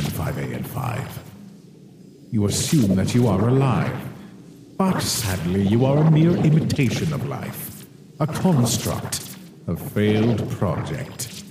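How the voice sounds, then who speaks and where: A man playing a cold, condescending monster scientist obsessed with his work, speaking formally and deliberately in a dramatic comic dub. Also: lowish voice, gravelly, very noisy, exaggerated voice. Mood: cold, dismissive. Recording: echoey hall reverb, music behind.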